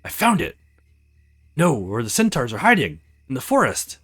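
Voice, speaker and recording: A man's voice: medium pitch, wildly swooping pitch, normal speed, fairly bright tone, slightly rough, heavily acted. A man acts out a zealous male hunter from a fantasy game, stern and commanding. He preaches with widely varying intonation over faint music. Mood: zealous, impatient. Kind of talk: visual novel read-aloud.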